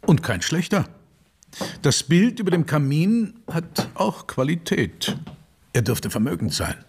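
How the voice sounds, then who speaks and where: An actor playing a fastidious, inquisitive, educated gentleman in a radio play, with a dry manner. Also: fairly high pitch, sing-song, breathy edge, heavily acted. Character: gentlemanly, fastidious, inquisitive male friend of investigators.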